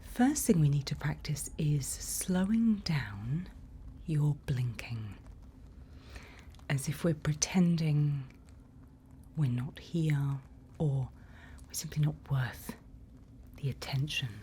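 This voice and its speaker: Slow whispering woman, low and breathy. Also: expressive, warm tone. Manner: unhurried, instructive, reassuring. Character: calm female night-watch guide in a dark monster-filled forest. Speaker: ASMR roleplay performer. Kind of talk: whispered ASMR roleplay. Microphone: close microphone. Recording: faint background ambient noise.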